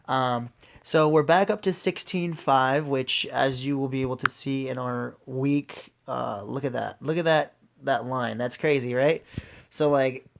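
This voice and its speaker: Raspy man talking casually through finances. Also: mid-range voice, steady tone, unhurried, a little hiss or hum. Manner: informal, addresses viewers directly. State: recovering from a lost voice, occasional throat clearing. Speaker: host of an investing video series. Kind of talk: casual unscripted portfolio walkthrough.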